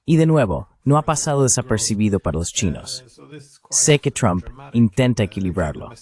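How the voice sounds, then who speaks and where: Male interviewer, lowish pitch, animated intonation, measured analytical delivery, translated voice-over.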